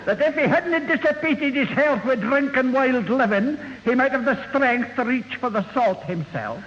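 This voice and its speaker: A man's voice: very high-pitched, expressive, moderate pace. A man playing a quarrelsome old Scottish uncle in a radio sitcom, scolding and indignant. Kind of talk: 1970 radio sitcom acting. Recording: muffled old radio recording, hall reverb, hiss.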